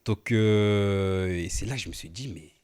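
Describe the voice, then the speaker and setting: A man in his thirties, born in Portugal and raised in France, co-hosting a podcast and telling his life story in a relaxed, familiar way with lots of fillers. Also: lowish voice, unhurried, clean tone. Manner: relaxed, familiar, frequent fillers and repeated "tu vois". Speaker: podcast co-host, entrepreneur. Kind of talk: casual conversational storytelling.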